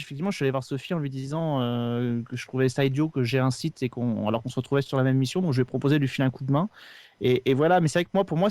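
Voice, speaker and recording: A man's voice: medium pitch, darkish tone, dry recording. A man talking casually and at length as an interview guest, explaining things as a podcast co-host. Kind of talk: casual conversational interview answers.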